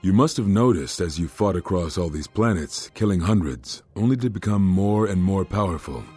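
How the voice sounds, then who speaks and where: An actor playing a brooding, grave Jedi master in a video game, with a weighty, ominous delivery. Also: male voice, low voice, animated, a little mellow, breathy edge, soft background music. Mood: grim. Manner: slow-burning, ominous, weighty. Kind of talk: dramatic video game dialogue.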